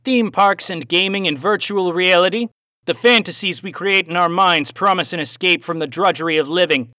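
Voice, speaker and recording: Menacing Aussie cowboy villain, raised pitch. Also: male voice, bright, forceful tone, no echo, clean studio sound. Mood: taunting. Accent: Australian with a hint of cowboy twang. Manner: formal, deliberate, sinister rhetorical questioning. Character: menacing male phantom cowboy villain, philosophical, taunting, theatrical. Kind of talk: audiobook character voice.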